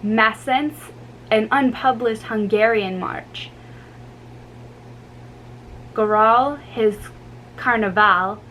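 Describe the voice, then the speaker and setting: A woman giving a dramatic amateur book reading, halting and stumbling over difficult names. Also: fairly high pitch, a bit bright, low-quality recording. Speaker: amateur reader. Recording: faint background noise.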